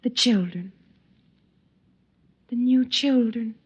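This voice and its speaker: A woman's voice: a little high, slow, husky. An actress playing a lonely, romantic, wistful married woman in a 1950s radio drama, speaking earnestly. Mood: anxious, pleading. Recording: dull vintage 1950s radio recording.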